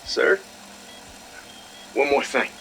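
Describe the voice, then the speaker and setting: An actor playing an easygoing, dutiful military pilot in a video game audio log, casual and plainspoken. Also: male voice, extremely slow, a bit bright, a little hiss or hum, rough recording. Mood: respectful, resolute.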